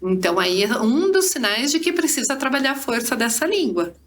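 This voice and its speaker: A woman's voice: on the high side, very animated, a little fast. Woman explaining, with noticeable background noise. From Brazil. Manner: didactic, with frequent tag questions and diminutives. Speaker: guest speech therapist. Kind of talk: conversational explanation on a podcast.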